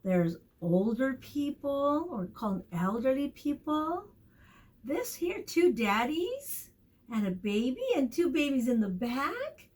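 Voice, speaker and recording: A woman with a fairly high, very animated voice speaks as a teacher to small children. She takes her time, stretching out key words. Her manner is expressive and encouraging, inviting her young listeners to answer. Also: slow pace, low-quality recording. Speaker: preschool teacher reading a picture book aloud. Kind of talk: children's storytime and vocabulary lesson.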